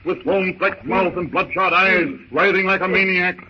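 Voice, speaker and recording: A noisy, dull old radio recording carries a man narrating a 1930s adventure serial. His high-set voice is theatrical and urgent, punching out short, emphatic phrases in dramatic scripted style. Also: steady tone, moderate pace.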